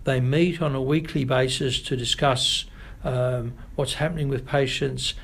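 A middle-aged Australian man, a family doctor, answering interview questions in a measured, thoughtful way with frequent pauses. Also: medium pitch, fairly even intonation, gravelly, fairly bright tone, husky. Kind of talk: podcast interview.

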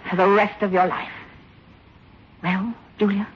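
A woman's voice: medium pitch, level tone, unhurried, slightly rough. An actress playing an anxious, guilt-ridden woman in 1960s radio suspense style, earnest, urgent and imploring. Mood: urgent pleading. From South Africa. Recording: muffled, hissy old radio recording.

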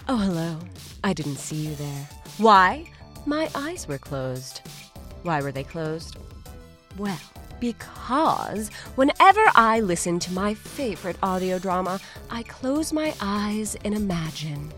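A woman hosting a podcast with a scripted comedic promo, playful and theatrical with deadpan asides. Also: medium pitch, slow pace. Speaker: podcast host. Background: faint music.

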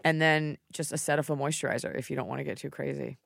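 A woman's voice: low-pitched, fairly even intonation, fast talker, gravelly, a bit bright, no room sound. A woman hosting a podcast in a studio, chatting casually in a joking, candid way. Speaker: podcast host. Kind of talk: casual chat.

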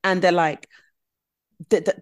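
A woman's voice: lowish voice, fairly even intonation, measured pace. A young woman in casual podcast chat, talking with lots of "like" fillers and acting out what people say. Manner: chatty, many "like" fillers, acts out quoted people.

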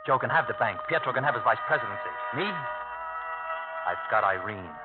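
An actor playing a hard, brooding ex-convict son in a radio drama, terse and sardonic. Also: male voice, medium pitch, lively intonation, normal speed, close to an everyday voice. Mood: bitter, resolved. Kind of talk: 1950s radio drama acting. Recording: narrow-band vintage radio recording with music.

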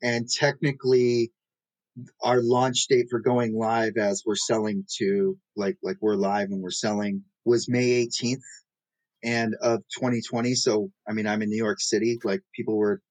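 A man's voice: fairly low pitch, flat delivery, a little slow, darkish tone, dry recording, low-quality recording. A man from the Pacific Northwest, a startup founder, telling his career story in a rambling, self-deprecating way with many fillers. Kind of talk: casual interview storytelling. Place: New York City.

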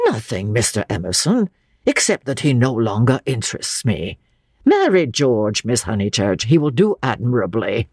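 A woman voicing a stern, reserved gentleman in a novel, with a sharp, cool, contemptuous manner. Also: very deep voice, sing-song, moderate pace, breathy edge, no echo, studio quality, heavily acted. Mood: cold, dismissive.

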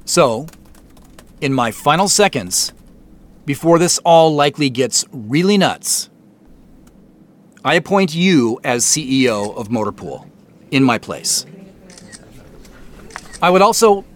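An actor playing a contrite middle-aged company founder and CEO, speaking in a measured, formal way with deliberate pauses in an audio drama. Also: male voice, fairly high pitch, very animated. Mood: sincere, decisive. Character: earnest, contrite male company founder and CEO addressing staff. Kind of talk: naturalistic audio drama acting.

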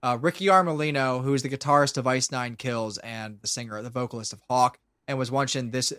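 A man's voice: medium pitch, steady tone, brisk, pushed and bright, clean tone, dry recording, clean studio sound. A man in his late twenties, a podcast host, chatting casually and rambling with lots of swearing and restarts. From Pennsylvania. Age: 20s.

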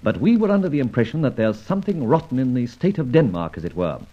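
An actor playing a suave adventurer sleuth in an old radio drama, speaking in an articulate, wry, theatrical manner. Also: male voice, mid-range voice, very animated, fast talker. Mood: wry, probing. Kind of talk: old radio drama acting with scripted narration. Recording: muffled old radio recording with music.

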